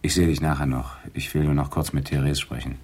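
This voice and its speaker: A police detective in a radio crime play. His voice is low and breathy, and he probes casually in short phrases. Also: level tone, a little fast, a little mellow. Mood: probing. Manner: short, probing questions. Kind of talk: radio drama acting.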